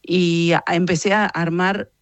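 A woman in her fifties with an Argentine Rioplatense accent and a fairly low voice. She gives reflective, conversational interview answers, explaining her points with emphasis and often checking in with the listener. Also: flat delivery, a little slow, muffled recording. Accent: Argentine Rioplatense Spanish. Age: 50s. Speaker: interview guest, journalist and communications consultant.

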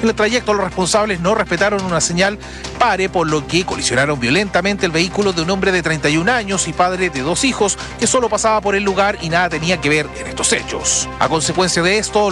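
A man with a Chilean accent delivering a scripted radio news report as a reporter. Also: high-pitched.

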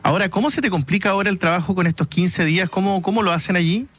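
Man, Chilean accent, radio interviewer, slightly high pitch, chatty. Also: fast talker, a bit bright, slightly rough. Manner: chatty, friendly questioning, many fillers. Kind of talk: late-night radio interview.

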